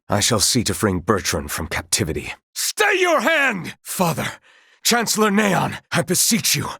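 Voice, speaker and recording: An actor playing an earnest, guilt-ridden young knight in a fantasy video game, speaking in formal, archaic courtly phrases. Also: male voice, medium pitch, gravelly, bright, forceful tone, slightly rough, dry recording, studio quality, exaggerated voice. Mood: resolute, then desperate, commanding. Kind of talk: dramatic fantasy game voice acting.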